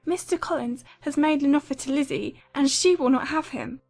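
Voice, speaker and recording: A woman's voice: high voice, normal speed, vocal fry, a little mellow, faint music behind. A woman playing an eager, gossipy, playful teenage girl in a dramatized audiobook. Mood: excited, gleeful.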